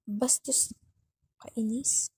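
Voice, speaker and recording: A woman's voice: on the high side, steady tone, extremely slow, dark tone, husky, no room sound, exaggerated voice. A woman with a Filipino accent playing a bossy but caring, worried young girlfriend who scolds and sulks in an intimate ASMR roleplay. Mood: annoyed, sulky. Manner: scolding, sulky, teasing, repeats herself for emphasis.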